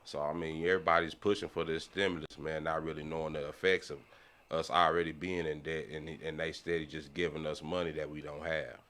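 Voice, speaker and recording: A man's voice: rumbling low, flat delivery, brisk, fairly bright tone. An American man talking casually as a podcast panelist, emphatic, repeating himself and often checking that listeners follow. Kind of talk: casual opinion debate.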